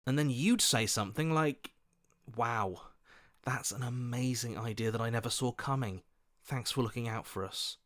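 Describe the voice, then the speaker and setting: A man voicing a scheming, flattering, self-serving opportunist in a video game, with a smarmy, wheedling, theatrical delivery. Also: mid-range voice, moderate pace, lightly acted. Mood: scheming, defensive. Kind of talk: video game character voice lines.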